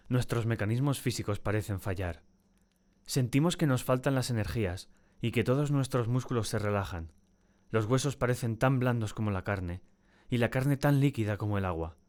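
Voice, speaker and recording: A man's voice: on the low side, darkish tone, close to an everyday voice. Solemn, tormented male diarist voiced by an actor. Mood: anguished, subdued. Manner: solemn, deliberate, theatrical. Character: tormented, terrified man confiding in his diary. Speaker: audiobook narrator and actor. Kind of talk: dramatic first-person audiobook narration.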